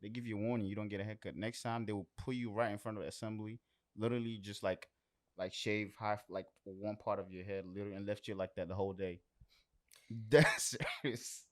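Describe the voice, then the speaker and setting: Low-voiced man in casual chat. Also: steady tone, fairly quick. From Suriname or abroad, later moved away. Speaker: podcast guest.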